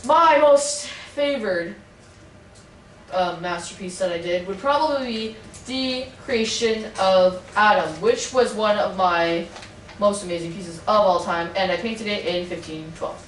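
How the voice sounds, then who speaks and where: A woman's voice: medium pitch, measured pace, natural voice. A young woman in her own voice playing a boastful, touchy male Renaissance painter in an amateur mock interview, trading sarcastic comebacks. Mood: snippy, boastful. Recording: echoey room, distant mic, faint noise.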